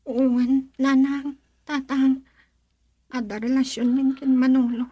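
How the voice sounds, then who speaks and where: A woman's voice: high voice, little pitch movement, takes it slowly, warm tone, husky, dry recording, muffled recording, full character voice. A woman playing a distressed young daughter in a radio drama, tearfully pleading with her parents. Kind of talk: Ilocano radio drama acting.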